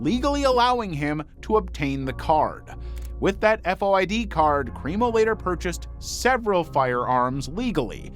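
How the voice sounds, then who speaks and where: A man reading scripted true crime narration in a measured, dramatic way with deliberate pauses. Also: a little high, a bit bright. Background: background music.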